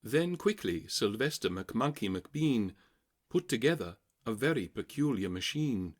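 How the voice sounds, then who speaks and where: A man's voice: on the low side, very animated, a little slow. A man narrating a children's audiobook, reading rhyming verse in a theatrical, rhythmic way. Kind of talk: expressive scripted children's story reading.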